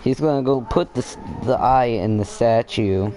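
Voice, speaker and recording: A young man giving casual, halting gameplay commentary, thinking aloud as he plays. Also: medium pitch, mellow, slight background noise. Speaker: gaming video host.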